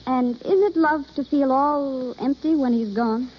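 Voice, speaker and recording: A woman's voice: high-pitched, measured pace. An actress playing a shy, breathless young woman in love, in the style of golden-age radio drama. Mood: lovestruck, wistful. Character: transformed timid young woman, breathless and shyly lovestruck. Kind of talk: 1930s-40s radio acting. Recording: muffled vintage radio broadcast.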